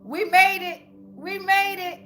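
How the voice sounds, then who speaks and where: A woman's voice: extremely high pitch, fairly even intonation, slow, fairly bright tone, soft background music. A woman host giving an informal spiritual tarot reading to viewers, speaking colloquially and repeating phrases like incantations. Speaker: tarot reader and channel host.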